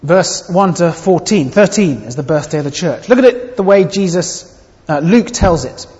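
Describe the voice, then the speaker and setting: A man preaching a sermon, earnest and expressive, with shifting emphasis as he reads and explains scripture. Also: high voice, moderate pace. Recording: dull sound with long hall reverb.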